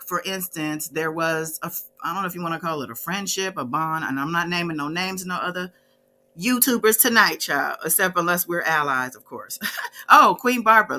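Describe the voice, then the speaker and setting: A woman's voice: on the low side, pushed and bright. A woman hosting a casual online commentary show, chatting conversationally and addressing her audience directly. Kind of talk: casual livestream chat.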